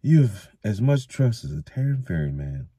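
A man voicing a calm, steady middle-aged farmer in a storybook reading, speaking briskly. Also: lowish voice, breathy edge, no room sound, clean studio sound, pitched above the performer's own voice. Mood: weary. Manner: brisk, level.

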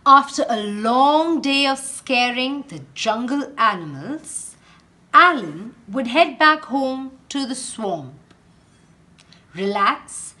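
A woman with an Indian English accent, a teacher reading a picture book aloud in a very slow, expressive storytelling way. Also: fairly high pitch.

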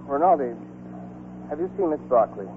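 An actor playing a weary, wry young army lieutenant with an understated delivery, in 1940s radio drama style. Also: male voice, on the high side, animated, moderate pace, slight background noise, close to an everyday voice. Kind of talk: 1940s radio acting and narration. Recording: tinny 1940s radio with music.

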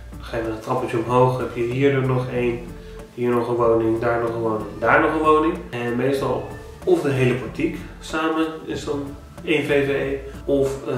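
A man in his thirties with a Dutch accent, giving home-buying tips in a casual, animated vlog with many restarts. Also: medium pitch. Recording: very echoey room, a bit distant.

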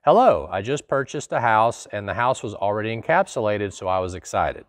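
A man's voice: on the low side, lively intonation, brisk, dry recording. A middle-aged man, hosting a casual explainer in a small studio and answering a viewer's question in a folksy, conversational way. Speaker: host. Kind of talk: casual explanatory talk to camera. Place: small studio in front of a plain wall.

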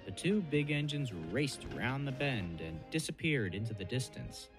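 A man's voice: mid-range voice, big pitch swings, normal speed, close to an everyday voice. A man giving scripted narration of a children's story. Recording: music behind the voice.

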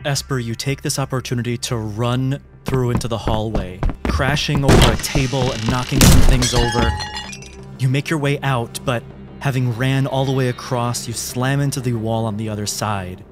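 A man runs a tabletop game as its narrator, with clear music playing behind him. His voice sits at a middling pitch, and he describes scenes in a deliberate, dramatic storytelling manner. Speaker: game master.

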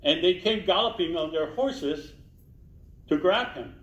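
A man's voice: on the high side, animated, a little slow, fairly bright tone. A man in his sixties speaking earnestly at a community panel, holding a handheld mic in a small meeting room, and repeating key phrases for emphasis. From Israel. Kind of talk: public talk at a community panel. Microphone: handheld mic, filmed from a distance. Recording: roomy, distant, dull sound.

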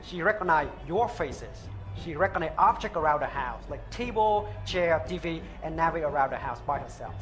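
A man in his thirties with a thick Vietnamese accent, earnestly pitching a product live on stage on a headset mic. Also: lively intonation, fairly bright tone, faint music behind, rough recording. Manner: earnest, halting non-native phrasing. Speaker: startup founder pitching. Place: open-air stage at a trade show.

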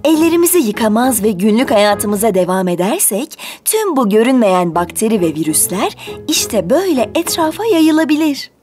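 A woman's voice: on the high side, big pitch swings, normal speed. A woman voicing a kind, patient young preschool teacher in a children's cartoon, speaking in an instructive, encouraging way. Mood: earnest, explanatory. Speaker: voice actor. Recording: loud music behind the voice. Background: music.

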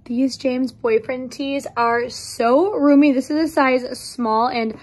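A young woman with a slightly raspy voice from losing it, chatting bubbly to viewers in a try-on vlog, filmed on a phone in a mirror in a bright living room. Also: sits high, fairly even intonation, takes it slowly. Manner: bubbly and chatty, addressing viewers as you guys. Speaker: clothing brand try-on host. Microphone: phone held up for a mirror selfie.

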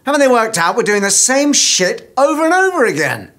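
Exasperated middle-aged man, swooping pitch. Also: big pitch swings, brisk, fairly bright tone, exaggerated voice. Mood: baffled, exasperated. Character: exasperated, baffled, sweary middle-aged male office worker. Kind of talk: naturalistic comedy drama acting. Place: small office.

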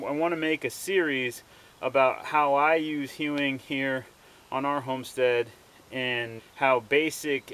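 A man's voice: mid-range voice, a bit bright. A plain-spoken man explaining hands-on homestead skills in a casual, instructional way, recorded outdoors on a camera mic a few feet away. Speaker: homesteader presenting his channel. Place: outdoors in a snowy farm yard.

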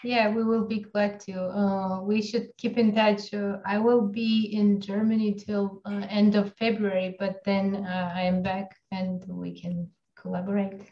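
Slow, flat-voiced woman on a call. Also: mid-range voice. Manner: hesitant, many fillers. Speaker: seminar participant. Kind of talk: online discussion. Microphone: video call. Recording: roomy dull video call.

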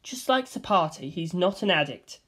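A man's voice: high-pitched, big pitch swings, moderate pace, a bit bright, full character voice. A laid-back party bounty hunter woman, read by a man. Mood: easygoing.

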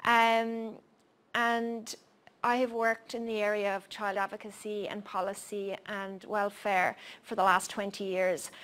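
An Irish woman in her forties, a program coordinator giving a workshop talk in a lecture room and leading a mindfulness exercise, speaking softly with frequent "um" pauses into a handheld mic. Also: mid-range voice, flat delivery. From Ireland. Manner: soft-spoken, frequent "um" pauses.

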